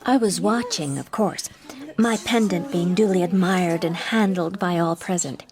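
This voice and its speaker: An actress playing a poised, sharp-witted young socialite who works secretly as a detective, composed and arch in a radio drama. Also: female voice, fairly low pitch, measured pace. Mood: knowing, deliberate. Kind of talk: audio drama acting.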